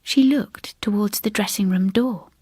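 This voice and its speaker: Female audiobook reader, hushed dramatic suspense, unhurried pace, dry studio sound. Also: mid-range voice, breathy edge, no echo. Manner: hushed, suspenseful, deliberate phrasing. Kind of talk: dramatic scripted narration of a ghost story.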